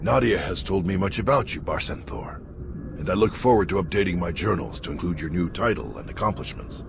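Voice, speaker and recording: An actor playing a calm, scholarly male Jedi in a video game, gravelly voiced, measured and courteous. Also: deep voice, over music. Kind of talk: dramatic video game acting.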